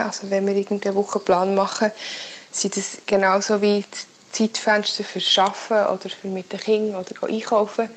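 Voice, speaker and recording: Mom talking casually, mid voice, bit of noise behind. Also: female voice, mid-range voice, rough recording. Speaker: parent sharing experience. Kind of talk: casual personal account.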